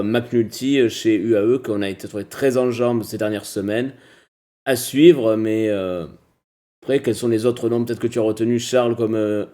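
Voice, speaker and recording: A man's voice: medium pitch, dark tone. A man co-hosting a cycling podcast, talking casually with many hesitations and restarts.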